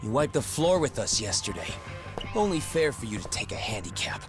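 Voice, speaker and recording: An actor playing a cocky, hostile teenage school bully in a video game, aggressive and foul-mouthed. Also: male voice, mid-range voice, sing-song, a little fast, over music, natural voice. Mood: menacing. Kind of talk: video game dramatic acting.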